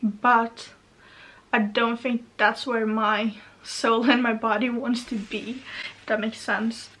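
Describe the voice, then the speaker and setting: A woman's voice: fairly high pitch, level tone, slow, fairly bright tone. A young woman from Norway with a Norwegian accent, rambling in a casual chat from her bedroom, full of fillers and asides. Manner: chatty, rambling, many fillers. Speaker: vlogger.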